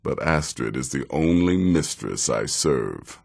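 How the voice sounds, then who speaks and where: A sardonic, deadly assassin from a fantasy game, a middle-aged desert warrior. His voice is extremely low and gravelly, with a slow, measured pace. The dry delivery still swings expressively and theatrically. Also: expressive, darkish tone, heavily acted. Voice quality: very deep, gravelly. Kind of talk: fantasy video game character dialogue.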